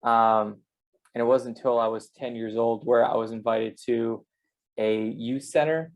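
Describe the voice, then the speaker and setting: A man's voice: mid-range voice, steady tone, a little slow. A man from Canada and the United States, a singer giving a casual personal testimony as an interview guest.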